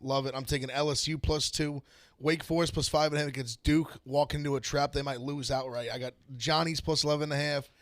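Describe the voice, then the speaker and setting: A man's voice: on the high side, steady tone, a little fast, fairly bright tone, dry recording. A man in his twenties talking casually through his betting picks. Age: 20s.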